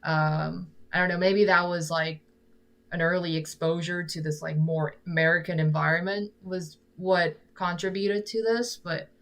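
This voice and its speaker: Young female streamer, Korean first language, low register, loose rambling delivery full of "like". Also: little pitch movement, unhurried. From South Korea. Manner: rambling, fills nearly every phrase with "like". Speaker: singer-songwriter streamer. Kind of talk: casual live-stream chat with viewers.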